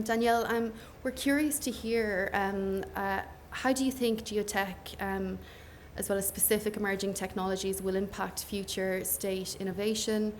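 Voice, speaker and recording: A woman with a gentle Irish accent moderates a conference panel into a microphone. She talks softly and hesitantly, with frequent fillers. A faint hiss of noise sits under her voice. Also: medium pitch. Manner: soft-spoken, hesitant with many fillers. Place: conference hall.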